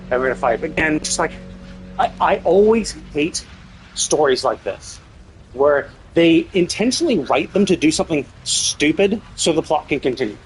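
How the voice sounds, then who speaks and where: A man's voice: fairly high pitch, slight background noise, poor audio. A man livestreaming casual game commentary, ranting in an exasperated, emphatic way and swearing freely. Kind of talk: casual gameplay commentary rant.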